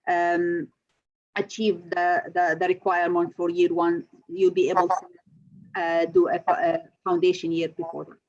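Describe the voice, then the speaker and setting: A woman's voice: fairly low pitch, level tone, measured pace. A woman from Syria, a chemical engineering lecturer, answering interview questions with many hesitant fillers. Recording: slightly dull recording.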